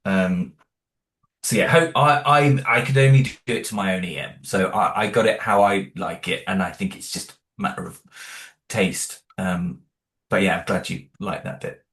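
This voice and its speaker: A low-voiced man talks through his creative choices in a relaxed interview, stopping and restarting his sentences as he thinks. The room around him rings with noticeable echo. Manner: thoughtful, halting, many restarts and "you know". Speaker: creator of an audio drama, interview guest. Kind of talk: casual interview talk.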